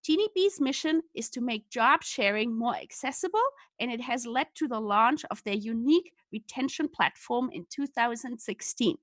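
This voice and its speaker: A woman's voice: a little high, lively intonation, moderate pace, few pauses, a bit bright, no room sound. A woman hosting a podcast, reading a scripted intro and then asking questions in an enthusiastic, upbeat way. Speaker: podcast host. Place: Vancouver, Canada.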